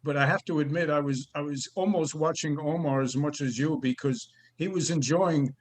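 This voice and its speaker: A man's voice: fairly high pitch, moderate pace, a little hiss or hum. A man teaching an acting class, praising students and giving notes, often restarting his sentences. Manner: warm praise, stammering restarts.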